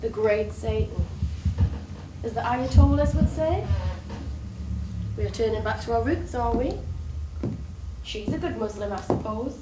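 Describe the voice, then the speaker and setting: A woman's voice: a little high, unhurried, long pauses, lightly acted. A woman acting a wounded, sardonic long-time lover in an incredulous, biting stage-play style. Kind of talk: theatrical stage play dialogue. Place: theatre stage, recorded from afar. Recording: distant, echoey, noisy stage recording.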